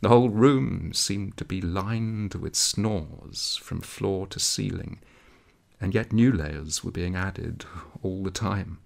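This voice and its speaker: Man, audiobook reader, low creaky voice, dramatic literary narration. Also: takes it slowly, darkish tone.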